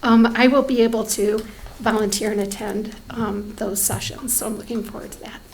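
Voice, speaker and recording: Woman giving a meeting report, slightly hoarse. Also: fairly high pitch, steady tone, moderate pace, slight background noise. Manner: conversational, many ums and restarts. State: losing her voice from allergies. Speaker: township staff giving a report. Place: township board meeting room.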